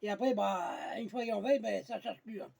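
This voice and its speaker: A man's voice: high-pitched, quick pace, creaky, darkish tone. A man chatting casually on amateur radio, blunt and colloquial, often searching for his words. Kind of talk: casual radio contact chat.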